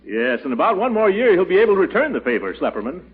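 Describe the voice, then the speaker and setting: Blustery radio uncle, tinny recording. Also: male voice, sits high, very animated, fast, slight background noise. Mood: dry, wry. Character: blustery, dryly amused middle-aged uncle out shopping. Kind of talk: 1940s radio comedy acting. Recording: tinny vintage radio broadcast.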